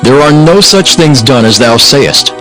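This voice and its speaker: An actor playing a steadfast governor of ancient Judah, narrating scripture in a solemn, measured, declamatory way. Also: male voice, medium pitch, lively intonation, a bit bright, low-quality recording. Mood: firm, defiant. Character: steadfast male governor of ancient Judah telling his story. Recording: music behind the voice.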